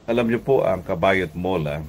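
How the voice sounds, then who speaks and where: A man's voice: sits low, brisk, slight background noise. A Filipino man preaching as a pastor and radio host, speaking conversationally and earnestly, exhorting listeners with polite address.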